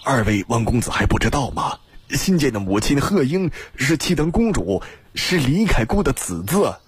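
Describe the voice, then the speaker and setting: A man's voice: medium pitch, very animated, normal speed, a little hiss or hum, voice pushed up, lightly acted. A man voicing a heavyset, fawning government agent in an audiobook, hesitant and deferential in manner. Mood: earnest, pleading. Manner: obsequious, hesitant.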